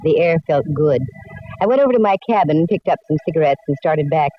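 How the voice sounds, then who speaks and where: A woman's voice: low-pitched, expressive, brisk. Spirited, wry lady detective. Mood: wry narration. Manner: breezy, wisecracking, self-possessed. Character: spirited, wry, inquisitive female private detective. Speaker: detective heroine and narrator. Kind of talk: 1940s radio acting and narration. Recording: muffled, noisy 1940s radio recording.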